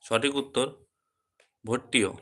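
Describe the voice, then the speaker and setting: Man, medium pitch, bright crisp-edged tone, quiz teacher, short separated phrases. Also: fairly even intonation, a little slow. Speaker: quiz teacher at a coaching centre. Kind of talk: quiz lesson, reading questions and answers.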